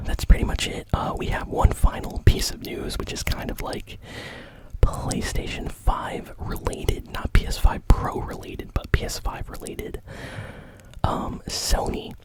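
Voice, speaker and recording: An ASMR host whispering gaming news in a rambling, informal way. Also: low-quality recording.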